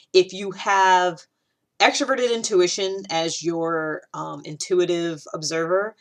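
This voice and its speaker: A woman hosting her own video, talking casually to the camera in a rambling way, full of fillers and restarts. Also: fairly low pitch. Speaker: video host.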